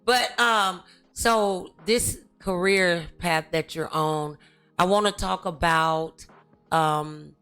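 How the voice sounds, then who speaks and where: A woman hosting a talk show, chatting in a familiar, colloquial and halting way with exclamations. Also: lowish voice, fairly bright tone, clean tone, soft background music. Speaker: talk show host interviewing a guest. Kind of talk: casual, familiar interview chat.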